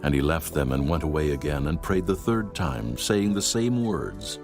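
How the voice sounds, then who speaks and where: A man's voice: a bass voice, normal speed. A man narrating scripture for an audiobook in a solemn, formal way. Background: background music.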